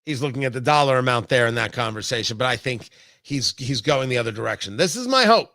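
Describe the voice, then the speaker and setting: American guy doing a political talk show rant, sharp forceful voice, really emphatic, repeats stuff for effect, super dry sound. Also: medium pitch, lively intonation, no room sound. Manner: emphatic, deliberate repetition for effect. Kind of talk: opinionated political commentary monologue.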